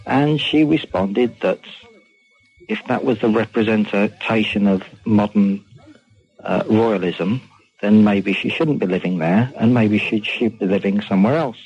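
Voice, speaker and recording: A man calling talk radio, giving his opinion in a measured, halting, careful way. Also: lowish voice, breathy edge. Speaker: phone-in caller. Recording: dull phone call on radio.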